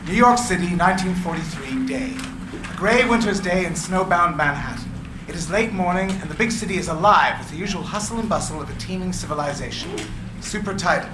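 Gravelly man reading stage directions. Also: sits high. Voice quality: gravelly from recording work. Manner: clear, expressive reading. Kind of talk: script reading narration. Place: meeting room with audience. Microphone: stand microphone. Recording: echoey room, background noise.

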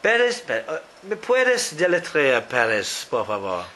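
Man, slow deliberate questions, lively pitch, faint hiss behind. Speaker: interviewer. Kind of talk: language-practice interview.

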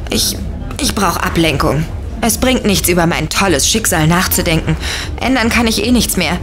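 A cheeky teenage girl in an audio drama, played by an actress. Her speech swings widely in pitch, casual and sarcastic, teasing like a best friend. Music plays clearly behind her. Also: animated, normal speed, close to an everyday voice. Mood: bitter, brooding. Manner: cheeky, casual slangy talk. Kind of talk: German audio drama acting, conversational.

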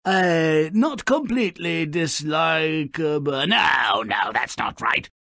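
Grumpy mischievous creature, video game narrator, gravelly, forceful sharp edge, slow relish, sardonic, theatrical. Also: male voice, on the high side, animated, no echo, clean studio sound, heavily acted. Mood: mock solemn. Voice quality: gravelly, raspy. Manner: mocking, grandiloquent, relishing misfortune.

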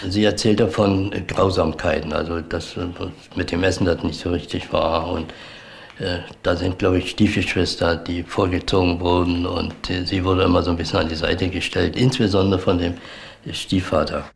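A man's voice: sits low, level tone, normal speed, vocal fry. An older man, a former children's home director, recalling events in an interview with many hesitations. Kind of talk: recorded interview.

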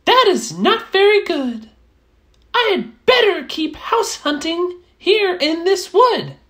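Woman voicing an eager, very high-pitched little mouse. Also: big pitch swings, slow pace, fairly bright tone, exaggerated voice. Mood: fussy, doubtful. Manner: exclaiming, musing aloud to itself. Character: little gray mouse, eager, picky house hunter. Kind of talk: children's storybook character voice.

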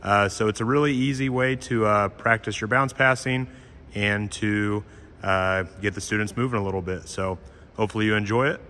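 Man, PE teacher, lowish bright voice, friendly how-to explanation, echoey gym. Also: steady tone, moderate pace, slight background noise. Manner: friendly and plain, with frequent "uh" fillers. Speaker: PE teacher and channel host. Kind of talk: instructional voice-over explaining a drill.

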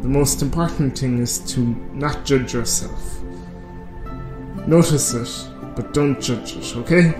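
An actor playing a serene, condescending, passive-aggressive yoga instructor leading a class in a radio drama. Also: male voice, mid-range voice, takes it slowly, natural voice. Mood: smugly serene. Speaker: yoga teacher. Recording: roomy echo, music behind.

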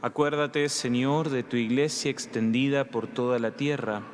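A young man with an Argentine accent, a priest reading prayers and giving communion instructions in measured tones. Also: medium pitch, steady tone, slight background noise. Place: large church. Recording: long church reverb.

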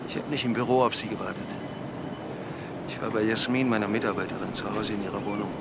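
A man's voice: on the low side, level tone, quick pace, vocal fry, heavy background noise. A man playing a defensive widowed businessman, confessing under questioning in a crime drama. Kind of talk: German TV crime drama acting.

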